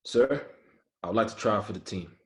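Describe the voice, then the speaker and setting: A man playing an earnest, principled young Army soldier in a screenplay table read, measured and quietly firm. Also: lowish voice, lightly acted. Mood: respectful, firm. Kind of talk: screenplay table read, first-person narration and dialogue.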